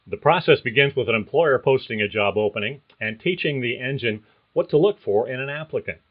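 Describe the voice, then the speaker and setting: Male host reading career advice from a script, measured and explanatory; thin, phone-like recording. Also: mid-range voice. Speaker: podcast host. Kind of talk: scripted narration.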